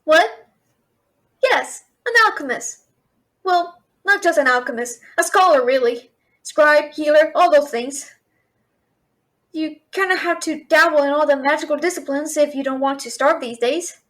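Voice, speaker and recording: A woman playing a brash, fast-talking young female thief and failed alchemist in a fantasy audio roleplay, chattering with sarcastic, exasperated bluster. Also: high-pitched, a bit bright. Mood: grumbling, wry. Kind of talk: fantasy audio roleplay, addressing an unseen listener.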